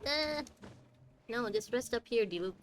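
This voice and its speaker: A woman's voice: fairly high pitch, expressive, unhurried. Wry woman streamer over game music. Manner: wry asides, talks to the game characters. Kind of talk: casual live gameplay commentary.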